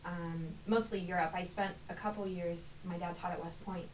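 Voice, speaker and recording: A woman's voice: fairly low pitch, fairly even intonation, normal speed. A woman talking casually as a podcast guest, chatty and candid with plenty of ums. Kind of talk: casual conversational interview. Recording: echoey room, distant mic, dull sound, faint noise.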